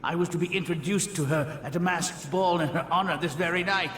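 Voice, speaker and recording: An actor playing a proud, pompous older scientist held captive in a video game, speaking in a formal, theatrical way. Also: male voice, on the high side, fairly quick, fairly bright tone, natural voice. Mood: alarmed, yielding. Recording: echoey room.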